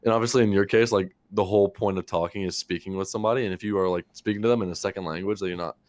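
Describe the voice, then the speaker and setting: Low-voiced young man, casual profane chat. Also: fairly even intonation, very fast, darkish tone. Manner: loose, profane, full of "like" fillers. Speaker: podcast co-host.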